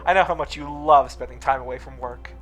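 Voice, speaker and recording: A man's voice: mid-range voice, fairly quick, fairly bright tone, close to an everyday voice. A man voicing a cheerful, scholarly male furry alien scientist in a visual novel, speaking politely and precisely. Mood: reassuring. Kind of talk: visual novel character reading. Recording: music under the voice.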